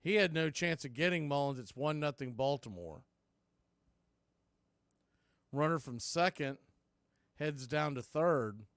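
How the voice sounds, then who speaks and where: A man's voice: a little high, unhurried, pauses often, smooth, no room sound, clean studio sound. A man giving live baseball play-by-play commentary as an announcer, in clipped phrases that swell with the action. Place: indoors, calling the game from a video feed.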